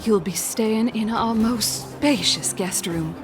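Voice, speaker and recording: A woman's voice: on the high side, slow pace, music in the background. A woman playing an easygoing, hospitable hotel keeper in a video game, with a casual, folksy manner. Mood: welcoming. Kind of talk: English game voice acting.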